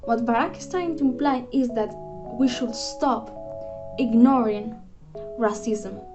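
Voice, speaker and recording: A woman's voice: on the high side, unhurried. A young woman, a student giving a prepared book review in an earnest, careful way with a few restarts. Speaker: student presenter. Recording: dull lo-fi voice with music behind. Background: guitar music.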